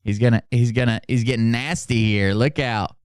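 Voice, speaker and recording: A man's voice: mid-range voice, fairly even intonation, moderate pace, smooth, no room sound, studio quality. A man hosting a commentary show, speaking informally and sarcastically and swearing at times, in a mocking style. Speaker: host of a commentary channel. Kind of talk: mocking commentary with dramatic read-outs.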